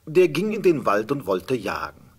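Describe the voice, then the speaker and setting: A man narrates a fairy tale in scripted storytelling style. His voice is husky and medium in pitch, with lively, wide intonation. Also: normal speed.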